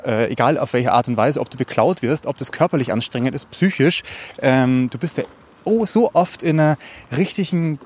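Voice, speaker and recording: A man's voice: mid-range voice, moderate pace, a little mellow, husky. A man hosting a casual podcast chat, thinking aloud and addressing his listeners directly as he walks along. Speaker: podcast host. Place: recorded while walking along.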